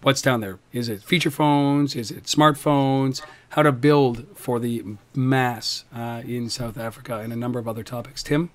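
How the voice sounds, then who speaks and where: A man hosting a podcast in casual chat, energetic, often repeating words as he starts a thought. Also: mid-range voice, normal speed, few pauses. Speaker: podcast host.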